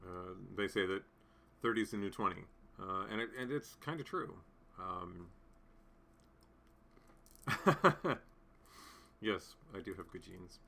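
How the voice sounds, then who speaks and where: Bright-voiced man chatting live. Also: sits low, slow pace, creaky, pauses often. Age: 30s. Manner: rambling, many fillers and restarts. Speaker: livestream host. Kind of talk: casual live chat reading comments.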